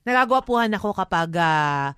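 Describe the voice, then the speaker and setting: A woman's voice: medium pitch, animated, moderate pace, fairly bright tone, clean tone, no room sound. Playful Filipino woman bantering, dry studio sound. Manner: playful, teasing. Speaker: radio co-host. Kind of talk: casual, joking radio banter.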